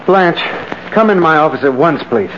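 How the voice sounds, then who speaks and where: Actor as panicky, high-voiced man, muffled old radio. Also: lively intonation, moderate pace, background noise, lightly acted. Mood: agitated. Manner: agitated, pleading, hesitant. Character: weak, panicky, guilt-ridden male embezzler and junior partner. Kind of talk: 1940s radio thriller acting.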